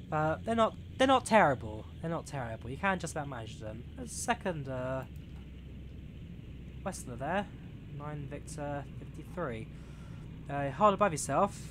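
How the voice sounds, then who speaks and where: A young man of about twenty from England, chatting casually with his live-stream viewers while he plays. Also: on the high side. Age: young adult, turning 20. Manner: rambling and chatty, reads chat aloud with many fillers. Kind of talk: casual live-stream chat while playing a train simulator. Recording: game train noise clearly behind the voice. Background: train simulator engine and rail sounds.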